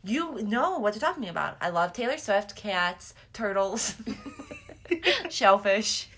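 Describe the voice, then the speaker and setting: A woman's voice: medium pitch, sing-song, takes it slowly, a bit bright, a little hiss or hum. A young woman with a slight lisp, co-hosting a podcast, telling stories in a casual, gossipy, candid way with frequent giggles. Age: young adult. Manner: giggly, candid, sweary oversharer. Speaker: podcast co-host. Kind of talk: casual gossipy chat and storytelling.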